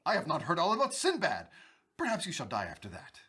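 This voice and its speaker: A man playing a capricious, excitable Sultan in a radio drama, husky, imperious and exclamatory. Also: a little high, fast talker, heavily acted. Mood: amused, imperious. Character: capricious, excitable male Sultan, eager for stories.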